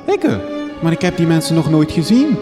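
High, excitable man as a bewildered hero. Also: moderate pace. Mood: shocked, agitated. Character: excitable, bewildered male hero facing horror. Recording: echoey hall, music behind.

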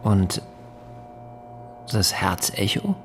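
A man's voice: deep voice, very animated, slight background noise, exaggerated voice. An actor playing a bewildered, hesitant male hospital patient of around forty in an understated radio drama. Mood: uneasy, doubtful. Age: 40s. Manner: hesitant, halting, quietly doubtful.

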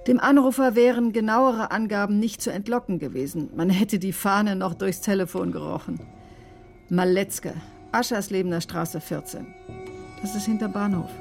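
Brusque doctor, music faintly behind. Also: female voice, medium pitch, creaky. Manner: matter-of-fact, clipped, wry. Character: brusque, sardonic woman emergency doctor giving testimony. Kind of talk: German radio drama, dry first-person narration.

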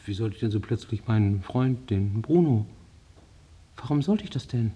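A man's voice: lowish voice, expressive, normal speed, mellow, slightly rough. An actor playing an agitated male psychiatric patient in a radio drama, defending himself in fragmented, insistent bursts. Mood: agitated, defensive. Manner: rambling, fragmented, insistent self-justification.

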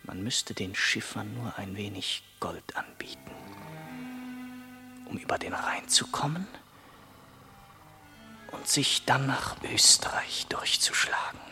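A man's voice: on the low side, animated, slow pace, gravelly, long pauses, over music, exaggerated voice. An actor in a German radio play voicing a tormented young man tempted to murder, in an intense inner monologue that moves between whispers and agitated outbursts. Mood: scheming, brooding.

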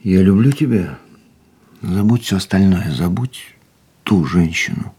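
Radio drama jealous lover, very low register, brooding and earnest, soft dark timbre. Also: male voice, lively intonation, a little slow, husky, full character voice. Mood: earnest, urgent. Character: lovesick, jealous man pleading with his beloved. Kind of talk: radio play acting with reflective narration. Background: music.

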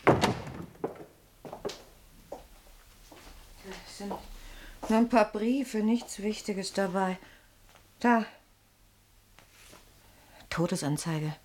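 A woman's voice: medium pitch, slow, long pauses, a little mellow, slight background noise, close to an everyday voice. An actress playing an anxious, sceptical woman who shields her companion, pointed and probing in a dramatic radio play style. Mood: hesitant, uneasy. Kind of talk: German radio play acting.

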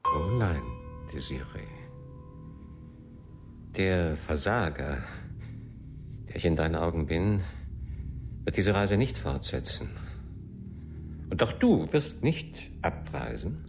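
An actor playing a middle-aged jilted husband, desperate and brooding, speaking haltingly and solemnly in a radio drama. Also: male voice, deep voice, big pitch swings, gravelly, faint music behind, exaggerated voice. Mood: bitter, resolute.

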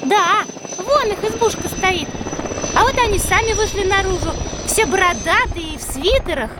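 Very high-pitched, sweet little girl. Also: lively intonation, moderate pace, heavy background noise. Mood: delighted. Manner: bright-eyed questioning, cooing. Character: sweet, inquisitive little girl, easily delighted. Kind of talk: children's educational audio drama.

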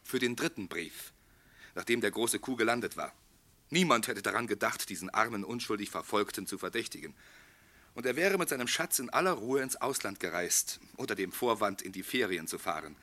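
Shrewd police inspector in radio drama. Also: male voice, lowish voice, animated, brisk, bright, forceful tone. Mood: confident, explaining. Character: male police inspector, shrewd, courteous and self-assured. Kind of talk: German radio play acting.

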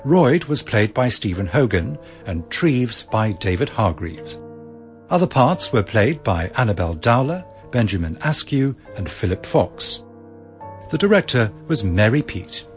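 A man's voice: fairly low pitch, a little slow, faint music behind. Male radio announcer reading credits. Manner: formal, even announcing.

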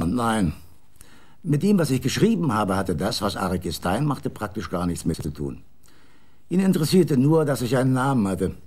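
A sardonic, eccentric writer. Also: male voice, medium pitch, darkish tone. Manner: sardonic, theatrical. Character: bitter, eccentric male writer cooking at night. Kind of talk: radio drama acting.

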